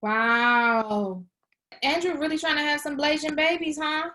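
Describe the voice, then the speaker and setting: A woman's voice: high-pitched, measured pace, bright, forceful tone. A woman playing a wry, awkward, defensive young woman in a casual screenplay table read.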